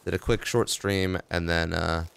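A young man with a hoarse, worn-out voice, rambling casually through game patch notes as he plays. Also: sits low, fairly even intonation, unhurried, fairly bright tone. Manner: rambling, offhand, reading patch notes aloud with asides. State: hoarse, tired voice. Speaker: gaming video host. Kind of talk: casual gameplay commentary.

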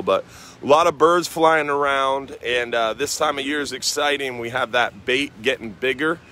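American man, marina host, bright forward voice, easygoing fishing update outdoors. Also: medium pitch. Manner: relaxed, rambling, many "uh" fillers. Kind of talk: casual fishing and weather report.

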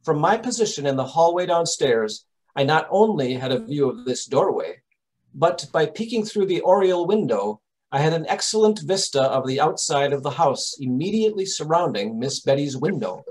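A man playing a shrewd, self-assured detective in old-time radio drama, speaking formally, deliberately and precisely. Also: a little high, very animated, a little mellow, rough recording. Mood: coolly confident. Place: home room, over video call.

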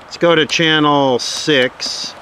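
A man giving casual, matter-of-fact commentary on a hands-on demonstration, unhurried, recorded outdoors. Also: a little high, fairly even intonation, low-quality recording. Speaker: video host. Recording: loud wind and radio static noise. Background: wind noise, hissing radio.

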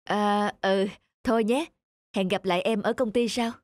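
A woman's voice: mid-range voice, fairly even intonation, normal speed, slight background noise, lightly acted. A woman voicing a troubled married businessman in a cartoon, earnest and hesitant. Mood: flustered. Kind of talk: scripted cartoon dialogue.

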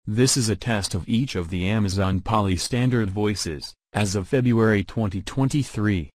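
A man's voice: on the low side, moderate pace, darkish tone, slight background noise. A synthetic man's voice with an American accent, reading a scripted demonstration. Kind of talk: scripted text-to-speech demo reading.

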